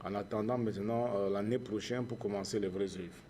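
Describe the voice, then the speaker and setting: A man with a Cameroonian accent speaks in a low, dark and relaxed voice. He answers interview questions earnestly, repeating phrases like a preacher. There is faint noise and ambience behind him. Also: fairly quick. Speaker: charity founder and musician, interview guest.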